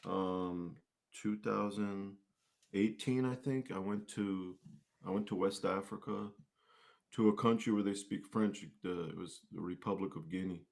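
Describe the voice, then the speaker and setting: A man with a low-pitched voice speaks as a guest in a casual interview. His phrasing is hesitant and halting, in an unscripted conversational style. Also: steady tone, creaky, a little mellow. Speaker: guest, musician and martial arts instructor.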